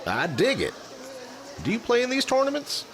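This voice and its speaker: Man playing an easygoing, very animated young jock. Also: very high-pitched, moderate pace, full character voice. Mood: friendly, relaxed. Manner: casual, slangy. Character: easygoing, friendly young male athletic hero. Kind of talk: audio drama dialogue. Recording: noisy background ambience.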